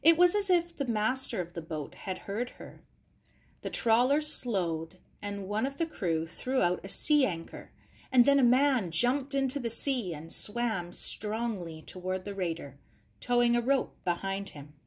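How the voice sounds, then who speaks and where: A woman's voice: mid-range voice, animated, measured pace, a little mellow. A woman reading a children's novel aloud as scripted narration. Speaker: audiobook reader.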